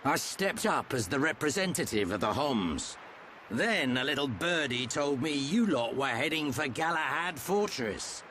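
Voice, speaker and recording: An actor playing a gruff, teasing older man who trades gear, in a video game cutscene. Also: fairly high pitch, animated, unhurried, pushed and bright, slight background noise.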